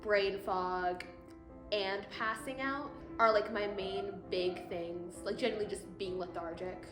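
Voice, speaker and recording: A woman's voice: mid-range voice, fairly even intonation, measured pace. An eighteen-year-old woman answers questions casually, with "like" scattered through her speech. Her voice sounds roomy, and music plays behind it. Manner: casual, frequent "like". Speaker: interviewee.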